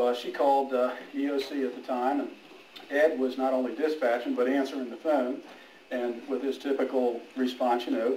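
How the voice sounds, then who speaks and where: Middle-aged man, medium pitch, halting informal speech, anecdotal, distant mic, echoey room. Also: darkish tone, a little hiss or hum. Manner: halting, anecdotal, with quoted dialogue. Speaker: police major giving a retirement speech. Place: indoor room at a retirement party.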